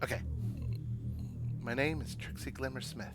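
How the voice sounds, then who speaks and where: A man's voice: medium pitch, fairly even intonation, normal speed. A man streaming a game, chatting casually and reading story text aloud in a self-deprecating, chatty way. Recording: background music under voice.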